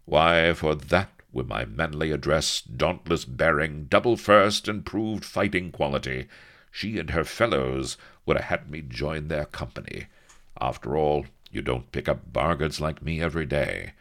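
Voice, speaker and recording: A man's voice: low voice, expressive, takes it slowly, fairly bright tone, pitched above the performer's own voice, full character voice. A man voicing a pompous, naive young sea captain who speaks in mock-archaic phrasing.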